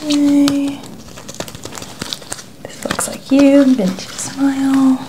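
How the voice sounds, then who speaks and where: Casting: woman, fairly high soft voice, calm procedural airport-agent ASMR role-play, noisy recording with clicks. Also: very slow. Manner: polite, procedural, reassuring. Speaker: ASMR performer playing an airport security agent.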